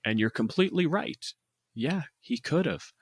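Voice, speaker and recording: A man's voice: medium pitch, lively intonation, normal speed, breathy edge, no room sound, studio quality. A man co-hosting a podcast in casual, conversational discussion. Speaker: podcast co-host.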